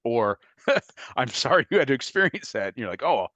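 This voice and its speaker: A man's voice: mid-range voice, sing-song, moderate pace, a bit bright, no room sound. A middle-aged man who is a guest on an interview show, talking reflectively and chuckling now and then as he tells stories. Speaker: guest, former FBI agent. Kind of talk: conversational interview.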